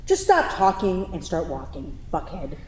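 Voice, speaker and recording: A woman in a staged play reading, playing a sharp-tongued, sarcastic and exasperated young girlfriend in an echoey hall. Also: on the low side, very animated, normal speed. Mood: furious. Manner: sarcastic, profane, incredulous. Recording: echoey theatre hall, some background noise.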